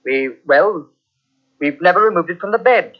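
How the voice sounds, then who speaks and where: A secretive host who keeps soothing his guest and waving away every worry in a vintage radio mystery. His voice sits a little high for a man. It comes through a dull, muffled broadcast recording. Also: lively intonation, normal speed. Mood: reassuring. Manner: smooth, reassuring, evasive. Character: secretive male host brushing off fears. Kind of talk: 1940s radio acting. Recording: dull old radio transcription.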